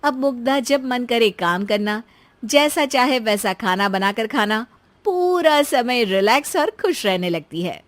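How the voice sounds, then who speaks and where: A woman's voice: on the high side, expressive, measured pace. A woman narrator reading a scripted moral story.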